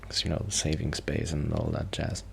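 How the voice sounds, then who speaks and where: A man playing a shy, friendly young musician in a soft ASMR roleplay, rambling casually and hesitantly in a breathy, often whispered voice. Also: very deep voice, monotone, normal speed, a little hiss or hum, exaggerated voice.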